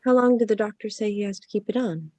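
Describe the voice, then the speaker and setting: A woman acting a gentle, obedient mother in her thirties in a script read, soft and solicitous. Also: medium pitch, fast, lightly acted. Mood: mild, placating. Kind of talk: actor's script table read.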